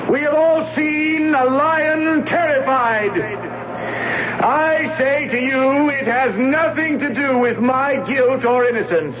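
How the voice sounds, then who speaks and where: Impassioned Roman speechmaker, emphatic, raised high voice, radio drama, dull noisy old recording. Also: male voice, slow. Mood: righteous, impassioned. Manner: declamatory, emphatic, rhetorical. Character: wronged Roman man, impassioned, defiant public orator.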